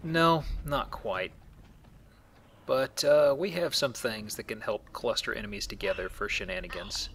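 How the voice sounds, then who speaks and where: A man's voice: medium pitch, measured pace, a bit bright, a little hiss or hum. A man hosting a let's play, explaining things casually with a joking manner. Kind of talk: casual game commentary.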